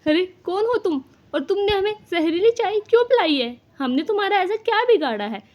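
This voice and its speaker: A woman's voice: extremely high pitch, lively intonation, moderate pace, darkish tone, lo-fi sound, pitched above the performer's own voice. A woman voicing simple, trusting male village labourers in cartoon story dialogue, with plain, brotherly talk. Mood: alarmed.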